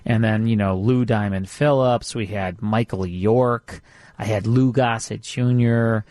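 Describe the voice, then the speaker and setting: A man's voice: lowish voice, fairly even intonation, a little slow, darkish tone, no room sound. Chatty middle-aged man, close dry sound. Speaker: radio show host and producer. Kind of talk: casual radio chat, reminiscing.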